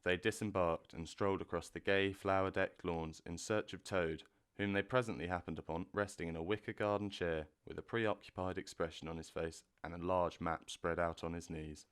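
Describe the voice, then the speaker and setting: A man narrating a storybook in a measured, gentle way. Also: low-pitched, fairly even intonation, clear, resonant tone.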